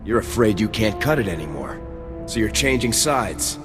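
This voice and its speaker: A cocky soldier in a video game. He is blunt and insolent in a lowish voice, with music playing behind. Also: very animated, moderate pace. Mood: scornful. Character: cocky, defiant male trooper sergeant. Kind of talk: video game cutscene acting.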